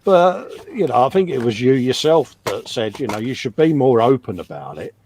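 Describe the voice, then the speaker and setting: A man's voice: medium pitch, very animated, moderate pace, darkish tone. Man on a call, faint hiss behind. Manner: conversational and matter-of-fact, with frequent "you know". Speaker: co-host, fellow model maker. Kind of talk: casual chat on a livestream.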